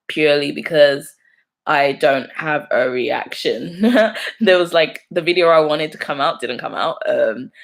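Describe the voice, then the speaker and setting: A woman's voice: rumbling low, creaky. A young woman from London with a London accent, casually chatting on a live stream, laughing often as she reads out and answers viewer comments. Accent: London English. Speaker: YouTuber hosting a live stream.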